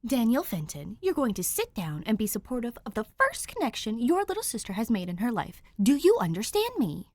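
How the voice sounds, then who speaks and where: A woman's voice: fairly high pitch, wildly swooping pitch, brisk, few pauses, no echo, pitched above the performer's own voice. A woman voicing a firm, bossy young woman scolding her brother in a storybook reading. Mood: stern.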